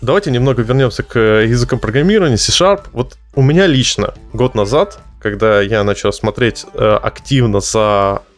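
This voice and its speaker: A man's voice: medium pitch, moderate pace, few pauses, faint music behind. A man hosting a stream who talks casually, joking and enthusiastic. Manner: enthusiastic, jokey. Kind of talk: casual panel discussion.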